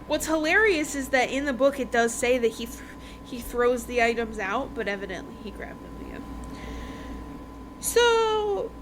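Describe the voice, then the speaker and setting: A woman's voice: fairly high pitch, very animated, normal speed. A woman host recapping a book in a casual, rambling, informal chat. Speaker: podcast host reviewing romance books. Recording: noticeable background noise.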